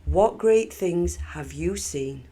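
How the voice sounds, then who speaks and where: A woman voicing a serene, insightful young girl in a children's storybook, with a gentle, questioning manner. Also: lowish voice, animated, slow pace, husky, exaggerated voice. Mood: serene wonder. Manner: gentle, wondering, asks questions. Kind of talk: children's storybook read aloud.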